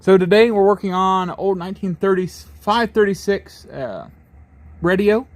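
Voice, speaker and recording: Guy with a kinda higher voice, folksy, talking thru a diy project casually. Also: normal speed, dry recording. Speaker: host of restoration vlog. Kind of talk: casual how-to narration.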